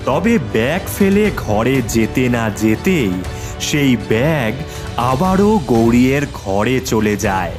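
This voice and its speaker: A man's voice: mid-range voice, sing-song, slow, music in the background. A man narrating a scripted children's story in a dramatic storytelling manner.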